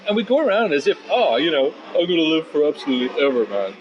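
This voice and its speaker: A man of fifty, a musician chatting casually and philosophically in an interview, blunt and sweary, rambling into animated asides. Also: a little high, quick pace, dull audio, noisy background. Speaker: musician and guitar teacher being interviewed.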